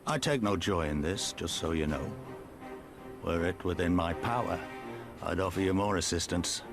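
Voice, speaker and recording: Gruff old rebel leader, deep voice, blunt and weary, music behind him, game cutscene. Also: male voice. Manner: measured, blunt, formal with folksy turns. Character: gruff older male resistance commander, weary, proud, wry. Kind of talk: video game cutscene acting.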